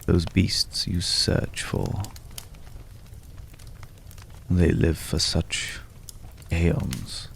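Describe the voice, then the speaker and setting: A very deep, mysterious gentleman acted by a man speaks in a heavily creaking, hushed voice over noticeable background noise. He is courtly and world-weary, choosing florid, old-fashioned words as in an intimate ASMR roleplay. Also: extremely slow, pauses often, exaggerated voice. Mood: wistful, pensive. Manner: archaic, florid, hesitant, pensive phrasing.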